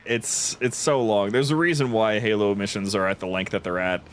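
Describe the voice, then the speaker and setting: A man's voice: on the low side, steady tone, brisk, slight background noise. Easygoing man hosting a game stream. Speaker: gaming stream host. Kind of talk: casual gaming stream chat.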